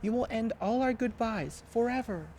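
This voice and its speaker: A grieving, devoted sister, as a man puts her on for a storybook reading. The voice sits well up high and pleads earnestly, with a lively rise and fall. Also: normal speed, a little hiss or hum. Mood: faithful.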